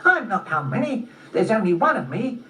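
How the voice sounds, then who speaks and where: A woman's voice: mid-range voice, big pitch swings, moderate pace, fairly bright tone, voice pushed up. A woman voicing a busy, grumpy squirrel in a children's story, with a mocking, impatient manner. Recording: roomy, distant, faintly noisy recording.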